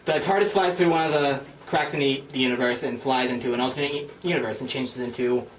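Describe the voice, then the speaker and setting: A man's voice: medium pitch, quick pace. A man hosting a script reading with friends, chatting casually and self-mockingly between stage directions. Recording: roomy, distant mic with faint noise.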